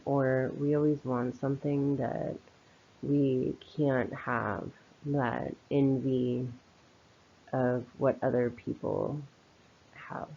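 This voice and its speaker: A woman's voice: rumbling low, a little hiss or hum. A woman giving an informal spoken commentary in a reflective, opinionated, conversational manner. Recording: slightly dull, lo-fi voice recording.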